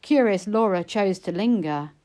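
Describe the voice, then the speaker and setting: A woman reading narrative poetry expressively, in a measured storytelling manner. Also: mid-range voice, expressive, mellow. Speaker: poetry reader.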